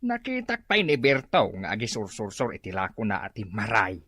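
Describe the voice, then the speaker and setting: A man acts out a gossipy male neighbour in a radio drama. His voice is rough and forceful, with big swings in pitch. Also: wildly swooping pitch, normal speed, dry recording, heavily acted. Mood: alarmed.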